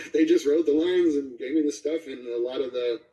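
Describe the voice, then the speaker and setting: A man's voice: on the high side, normal speed, warm tone, roomy sound, recorded from a distance, low-quality recording. A man talking casually as an interviewed voice actor, rambling, breaking off and repeating his phrases. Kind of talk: casual chat.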